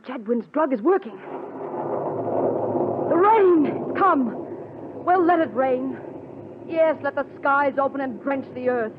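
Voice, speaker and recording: A sharp, jealous young schemer played by a woman in an old radio melodrama. Her pitch swings widely as she commands and cuts with biting speech. The recording is muffled and noisy. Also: very animated, normal speed, close to an everyday voice. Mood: exultant.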